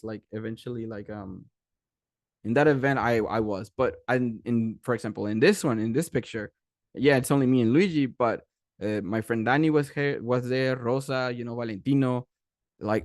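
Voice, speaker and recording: A man's voice: medium pitch, dry recording, studio quality. A young man from Mexico, speaking English with a Mexican Spanish accent as a second language, tells a story in a casual presentation with lots of fillers and restarts. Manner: animated, rambling, many fillers and restarts. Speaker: guest speaker, animation creator.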